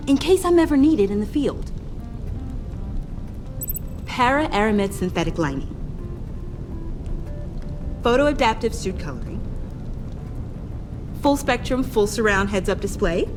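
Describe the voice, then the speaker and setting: A woman's voice: a little high, sing-song, unhurried, pauses often, music in the background. An actress playing a caring, eager young woman in a video game, talking in an earnest, direct, conversational way.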